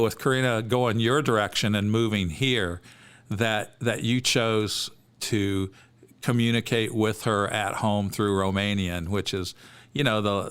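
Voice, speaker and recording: A man's voice: lowish voice, fairly bright tone, clean tone. A man, hosting an interview in an eager, affirming manner. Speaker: podcast host.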